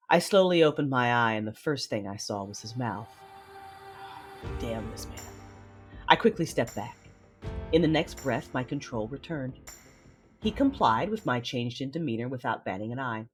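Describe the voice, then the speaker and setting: A man playing a guarded, commanding Sith lord in a sci-fi audio drama, narrating inwardly in a husky voice. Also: medium pitch, expressive, moderate pace, soft background music, natural voice. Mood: brooding, controlled. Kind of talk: first-person audio drama narration and dialogue.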